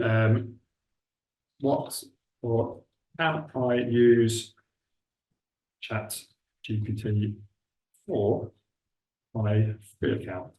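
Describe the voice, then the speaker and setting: A man with a Milton Keynes accent talks through a software demo in a loose, chatty way, often breaking off mid-sentence. His voice sits at a middle pitch. The sound is roomy and a little distant from the microphone. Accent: Milton Keynes English. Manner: chatty, self-interrupting, thinks aloud while clicking. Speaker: recruitment trainer. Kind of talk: casual screen-share tutorial. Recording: roomy echo, speaker away from the mic.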